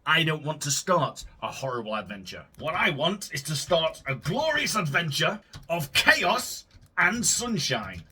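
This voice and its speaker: A man hosting a gameplay video, commenting theatrically with shouted bursts and sarcastic asides. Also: on the high side, big pitch swings, measured pace, breathy edge. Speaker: gaming video host.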